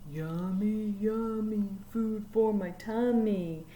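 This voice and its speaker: A woman's voice: medium pitch, slow pace, warm tone, slight background noise, natural voice. A woman chanting as hungry singing sharks. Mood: teasing chant. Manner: sing-song chant.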